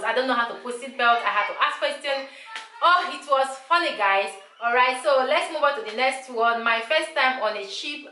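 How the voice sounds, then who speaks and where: A woman's voice: a little high, sharp tone. A woman in her thirties with a Nigerian accent, whose first language is Igbo, chatting casually to camera in a small room and repeating herself often. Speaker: vlogger. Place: small bright room indoors. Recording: echoey room.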